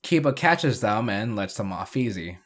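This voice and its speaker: A man's voice: fairly low pitch, animated, normal speed. A man hosting and narrating a scripted recap, adding wry, dry asides. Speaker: video host and narrator.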